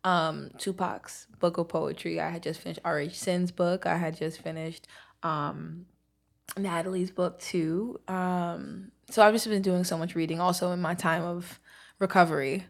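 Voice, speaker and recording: A woman's voice: on the low side, steady tone, a little slow, vocal fry. A young woman with a Brooklyn accent, chatting casually as a guest and laughing often. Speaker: interview guest, author and poet. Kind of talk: casual conversation.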